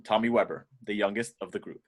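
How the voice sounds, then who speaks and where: Slightly low-voiced man narrating a script. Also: steady tone, fast. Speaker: reader of stage directions. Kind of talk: scripted narration in an amateur table read.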